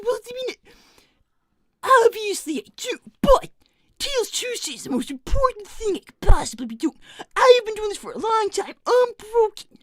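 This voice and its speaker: Young fox boy streamer, voiced by a woman; very high, animated, stammers and rambles, dry close mic. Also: dry recording. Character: young male fox kid, nervous and earnest. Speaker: livestream character performer. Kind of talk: live roleplay stream with chat.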